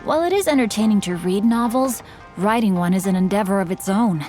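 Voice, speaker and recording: A woman's voice: medium pitch, expressive, a little fast, warm tone, faint music behind, natural voice. A woman playing a polite, bookish teenage boy novelist in a video game, speaking in formal, eloquent phrases. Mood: earnest, courteous. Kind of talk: video game character voice acting.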